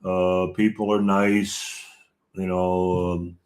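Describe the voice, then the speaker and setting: A man's voice: low-pitched, level tone, slow, a little mellow. An eighty-year-old man with an American accent chatting casually as a guest, in loose, rambling thoughts. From United States. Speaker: regular guest. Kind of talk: casual chat.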